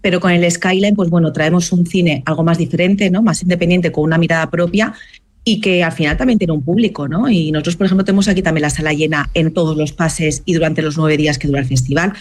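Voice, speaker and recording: A woman's voice: on the low side, fairly even intonation, fast talker, darkish tone. A woman who organizes a film festival, talking in a friendly way with frequent tag questions. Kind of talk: casual discussion.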